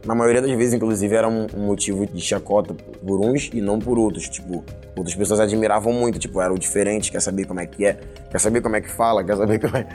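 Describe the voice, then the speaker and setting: A man's voice: on the low side, steady tone, quick pace, darkish tone, faint music behind. A young man with a Carioca Brazilian accent, telling personal stories casually and colloquially in an interview. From Rio de Janeiro, of Angolan family. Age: young adult. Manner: colloquial, slangy. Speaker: hip hop musician interviewee.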